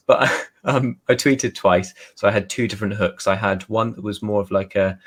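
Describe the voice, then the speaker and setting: Guy from somerset, lowish voice, toned down farmer accent, self-deprecating, casual interview chat. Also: moderate pace. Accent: softened West Country English, Somerset. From Somerset, England. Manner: giggly, self-deprecating, makes an effort to sound well spoken. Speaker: guest author.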